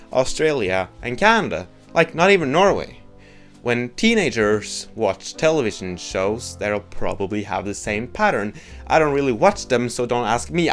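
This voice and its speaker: A young man with a Norwegian accent, a native Norwegian speaker, giving a blunt, joking opinion monologue as a video host. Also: a little high. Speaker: YouTube host.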